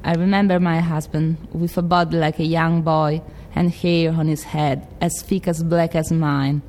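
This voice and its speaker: Brief: woman playing a defiant grieving widow, Italian accent, very low voice. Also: level tone, measured pace, a little mellow, long reverb tail, slight background noise. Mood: defiant, proud. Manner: impassioned, defiant monologue reading. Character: proud grieving middle-aged widow, fiercely devoted.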